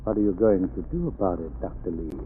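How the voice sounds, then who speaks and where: A quietly menacing official. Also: male voice, low voice, expressive, fast talker, slightly rough, heavily acted. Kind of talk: 1930s radio drama acting. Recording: tinny old radio broadcast.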